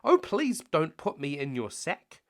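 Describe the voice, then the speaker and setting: A man acts out a downy storybook duck. She pleads and sweet-talks, her pitch lifted and moving about. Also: wildly swooping pitch, measured pace, heavily acted. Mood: pleading. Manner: pleading, coaxing. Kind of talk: storybook character voice.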